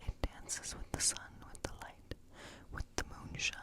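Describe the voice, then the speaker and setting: A woman playing a quirky, playfully spooky witch in a whispered ASMR role play, murmuring haltingly and repeating words to herself. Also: natural voice. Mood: entranced. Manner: hushed, halting, muttering repetitions to herself.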